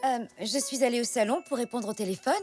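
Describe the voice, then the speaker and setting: Woman playing a high-voiced, gentle cartoon zebra mum. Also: fast, soft background music, close to an everyday voice. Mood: recollecting, unsure. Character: adult zebra mother, gentle, a bit flustered. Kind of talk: French children's cartoon acting.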